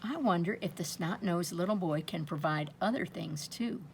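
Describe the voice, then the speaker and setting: A woman's voice: on the low side, moderate pace, a little hiss or hum, close to an everyday voice. A woman voicing a greedy, ungrateful male flower seller from a storybook, speaking with grumbling, wishful emphasis.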